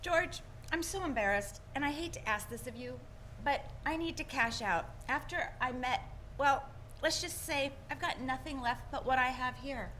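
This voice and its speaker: Radio play role: proud but embarrassed small-town woman, acted by a woman, bright, high and earnest. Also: normal speed, a little hiss or hum, low-quality recording. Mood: embarrassed, resolved. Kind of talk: 1940s radio play acting.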